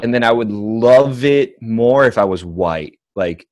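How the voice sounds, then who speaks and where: A man's voice: mid-range voice, lively intonation, a little slow. A man in his late twenties from Northern Virginia, a podcast guest chatting casually, hesitant and rambling with frequent laughs. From United States (Northern Virginia). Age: 20s. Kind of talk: casual chat.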